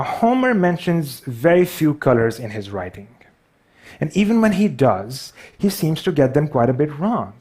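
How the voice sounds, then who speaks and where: A man with a Pakistani accent speaks to an audience at a mid-range pitch. His storytelling is personal and self-deprecating, with occasional halting phrases. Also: darkish tone. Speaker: public speaker.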